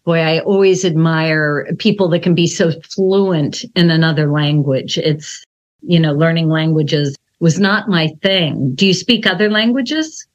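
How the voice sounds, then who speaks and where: A woman's voice: low voice, unhurried, dull audio. A middle-aged woman hosting a podcast, moving from a scripted intro into an enthusiastic, affirming interview chat. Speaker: podcast host.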